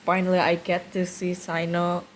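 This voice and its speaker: A woman's voice: on the low side, completely flat delivery, normal speed. A woman giving casual, reactive commentary as she plays a video game. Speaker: gamer, streamer. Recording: loud game music behind. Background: video game music.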